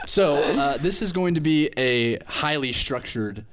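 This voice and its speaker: A man's voice: on the high side, expressive, measured pace, background noise. A young man hosting a casual podcast, joking around and correcting himself as he introduces the show. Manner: jokey, rambling, self-correcting. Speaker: podcast host.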